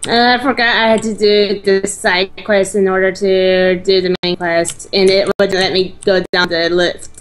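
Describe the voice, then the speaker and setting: A woman's voice: medium pitch, flat delivery, moderate pace, few pauses, soft background music. A woman chatting casually as a co-player during a let's play of an online game. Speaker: co-player, guest in gaming video. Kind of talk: casual chat while playing an online game.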